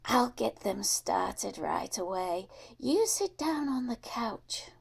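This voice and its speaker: A woman voicing an eager, doting old lady in an audiobook, breathy and halting. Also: fairly high pitch, lively intonation, voice pushed up, exaggerated voice. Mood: eager, flustered. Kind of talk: acted audiobook dialogue.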